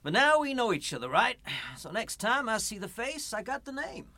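A man's voice: very high-pitched, very animated, sharp tone, exaggerated voice. A man playing a confident, affable church pastor in a radio play, with a folksy and rousing way of talking. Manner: rousing drawn-out preaching, or easygoing folksy reassurance.

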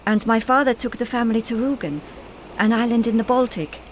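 An actress playing a wistful, hesitant German woman in a radio play, speaking in a reflective, halting way. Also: on the high side, slight background noise, lightly acted. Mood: dreamy, nostalgic. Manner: reflective, halting, trailing into reverie.

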